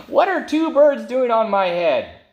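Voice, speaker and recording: A man voicing an anxious, excitable, easily exasperated elephant from a children's storybook, with dramatic, fretful exclamations. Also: extremely high pitch, slow, highs cut off, pitched above the performer's own voice, full character voice. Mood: worried, baffled. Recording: roomy echo.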